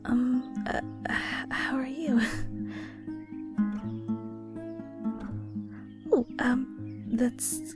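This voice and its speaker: A woman playing a shy, kind ghost in an intimate audio roleplay, speaking softly and hesitantly with stammers and nervous little laughs. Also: fairly high pitch. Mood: shy, flattered. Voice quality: soft, breathy. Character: shy, kind female ghost shade, awkward and caring. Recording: soft guitar music behind. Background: gentle guitar music.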